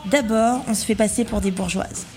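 An actress playing a cheeky, upbeat activist full of schemes in a French audio drama, with a playful, theatrical and slangy manner. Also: female voice, fairly high pitch, normal speed, darkish tone, soft background music, close to an everyday voice. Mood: enthusiastic. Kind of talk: French audio fiction acting.

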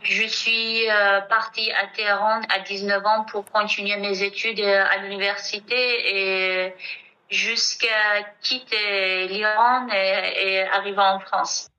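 A woman's voice: mid-range voice, flat delivery, slow, bright, forceful tone, low-quality recording. A woman from Iran, speaking hesitantly and searching for her words. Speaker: interviewee. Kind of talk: personal testimony.

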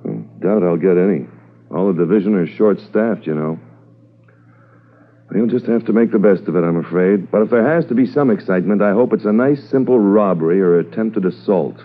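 An actor playing a weary, conscientious senior police commissioner in a 1950s radio drama, measured and formal. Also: male voice, sits low. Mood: worried, resigned. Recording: muffled 1950s radio recording.

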